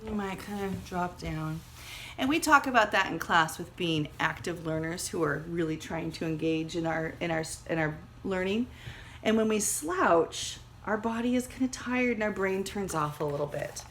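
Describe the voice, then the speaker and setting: Bright-voiced woman teacher reading aloud. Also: lowish voice, normal speed, slight background noise. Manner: dramatic, emphatic storytelling. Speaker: second grade teacher. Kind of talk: expressive storybook read-aloud with teaching asides.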